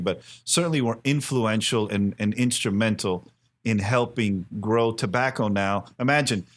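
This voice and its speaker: Earnest, lower-pitched American man in his fifties. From Miami, United States. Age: 50s. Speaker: interview guest, cigar company president. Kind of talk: conversational interview, storytelling.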